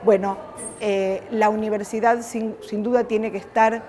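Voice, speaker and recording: A woman with an Argentine accent speaking unscripted as an academic, often halting and repeating words as she searches for phrasing. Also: mid-range voice, little pitch movement, mellow. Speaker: university academic. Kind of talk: unscripted spoken reflection on camera.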